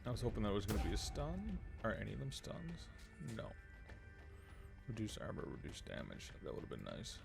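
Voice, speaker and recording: A man with a fairly low, creaky voice commentates casually on a game stream. He mutters his thoughts aloud as he plays, with music clearly behind him. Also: wildly swooping pitch, a little fast. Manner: thinking aloud, muttering to himself. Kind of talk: casual live gameplay commentary.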